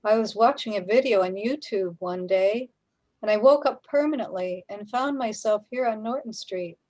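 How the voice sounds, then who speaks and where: A woman's voice: medium pitch, normal speed, darkish tone, close to an everyday voice, rough recording. A woman playing a placid, reassuring resident of a surreal street in a radio play, speaking matter-of-factly.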